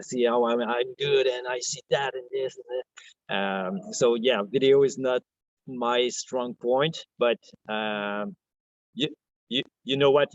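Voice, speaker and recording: A man with a French Canadian accent, a podcast guest talking in a soft, hesitant way, full of restarts and fillers. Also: mid-range voice, no room sound, dull audio. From Quebec, Canada. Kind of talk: casual interview chat.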